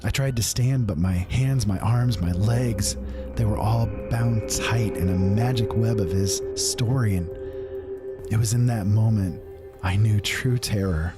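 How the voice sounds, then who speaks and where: Guy telling a spooky story, kinda low voice, earnest, loud music behind. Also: measured pace. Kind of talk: spoken horror story narration.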